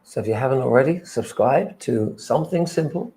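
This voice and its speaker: A man's voice: mid-range voice, animated, brisk, warm tone. A man who grew up in England, chatting hesitantly on a livestream. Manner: hesitant, hedging. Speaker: livestream co-host. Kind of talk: casual livestream chat.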